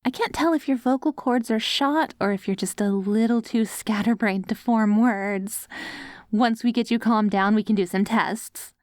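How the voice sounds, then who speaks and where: A woman's voice: a little high, normal speed, darkish tone, no echo, clean studio sound, lightly acted. A woman playing a devoted, hopeful wife tenderly coaxing her zombie husband in an ASMR audio roleplay. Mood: tender, encouraging. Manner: tender, coaxing, speaks directly to a loved one.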